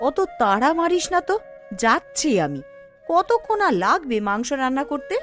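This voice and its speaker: A fussing village wife from an animated story, played by a woman, pitched high and swinging widely. She nags and exclaims as she scolds, over faint music. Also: moderate pace. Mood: brisk. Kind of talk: Bengali animated cartoon story dialogue.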